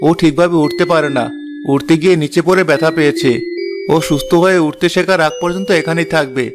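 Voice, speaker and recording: A man's voice: on the high side, fast talker, over music, lightly acted. A man playing a kind, earnest woodcutter, a devoted and protective father in a fairy-tale cartoon. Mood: caring.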